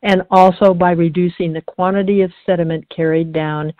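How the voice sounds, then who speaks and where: A woman's voice: fairly low pitch, steady tone, brisk, no room sound. A woman, a program director, doing a scripted reading of expository prose. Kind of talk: scripted reading, enumerating points. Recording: tinny phone-like audio.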